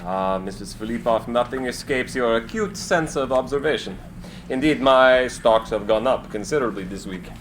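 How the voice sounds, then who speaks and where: A man's voice: mid-range voice, moderate pace, noisy background, natural voice. A man acting an eccentric inventor in a live script reading, eager, courtly and theatrical. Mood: merry.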